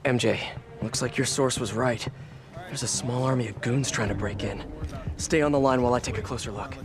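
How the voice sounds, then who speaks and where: A young man with an American accent playing a wry, quick-witted superhero in a video game, conversational and slightly awkward. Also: mid-range voice, close to an everyday voice. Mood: wry, focused. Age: young adult. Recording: game music behind the voice.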